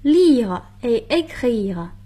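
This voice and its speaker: A woman with a high, slightly husky voice hosts a radio lesson. She explains grammar step by step in a patient teaching tone. She prompts her partner for each practice sentence. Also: big pitch swings, extremely slow, mellow. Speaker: radio language lesson host. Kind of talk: instructional radio hosting.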